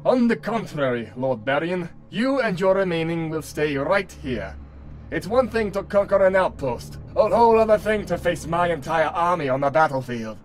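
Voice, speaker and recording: Haughty, high-voiced, mocking prince. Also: male voice, big pitch swings, moderate pace, faint music behind. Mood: threatening. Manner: taunting, theatrical, smug. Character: mocking, haughty enemy prince in a medieval fantasy.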